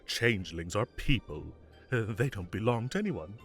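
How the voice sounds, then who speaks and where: A man playing a mischievous, evasive fae king who is secretly fond, theatrical and halting when flustered, in an audio roleplay. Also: fairly low pitch, lively intonation, a bit bright, slightly rough, soft background music. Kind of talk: scripted audio roleplay acting.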